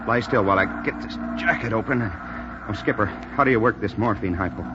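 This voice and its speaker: A man's voice: fairly low pitch, brisk, close to an everyday voice. A man as a brash American co-pilot, muffled. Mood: grieving. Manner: informal, slangy. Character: brash, loyal young American bomber co-pilot. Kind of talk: 1940s radio drama acting. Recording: muffled old radio, engine noise.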